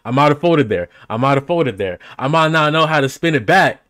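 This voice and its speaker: A man's voice: fairly high pitch, expressive, a little fast, fairly bright tone. A young man giving casual, slangy reaction commentary as a streamer, often repeating himself for emphasis, on a close microphone indoors. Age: young adult. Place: small indoor room.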